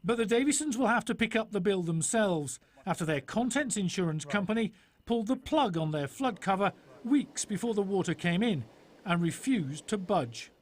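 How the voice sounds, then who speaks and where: A man's voice: high voice, lively intonation, moderate pace. A man with a British accent narrating a scripted television news report.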